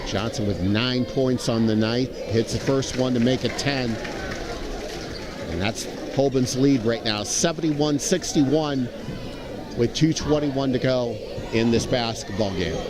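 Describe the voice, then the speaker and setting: An American man giving measured live basketball analysis as a color commentator. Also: mid-range voice. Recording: noisy gym with crowd behind. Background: crowd noise in a gym.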